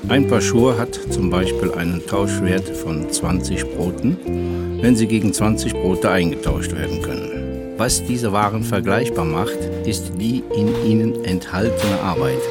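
A man's voice: deep voice, a little slow. A man reading scripted explanatory narration. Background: music with guitar.

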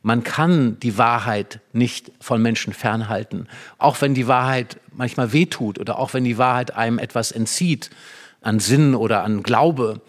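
A man with a medium-pitched voice explains a stage play in conversation. He tells it as a story, setting scenes vividly and repeating phrases to make his point. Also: a little slow.

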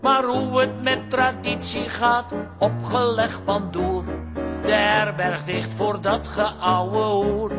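An actress playing a weary, grumbling innkeeper, singing a comic cabaret song in a radio drama. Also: female voice, mid-range voice, steady tone, slow, loud music behind the voice, natural voice.

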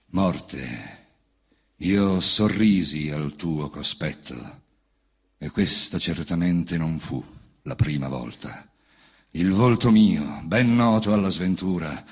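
A man reciting epic autobiographical verse in a solemn, declamatory manner, with deliberate pauses between phrases. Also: fairly low pitch, breathy edge. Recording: voice over band music accompaniment. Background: wind band music.

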